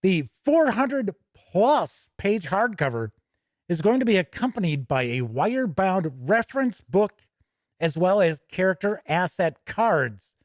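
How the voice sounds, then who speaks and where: A man's voice: sits high, very animated, measured pace, no echo, clean studio sound. A man hosting a tabletop gaming news show, chatting casually between read-out product copy, with self-correcting, rambling asides.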